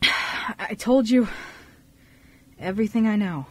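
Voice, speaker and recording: A woman's voice: mid-range voice, slightly rough. A woman voicing a nervous young man who is evasive under police questioning in an amateur radio drama. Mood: strained, resigned. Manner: halting, evasive.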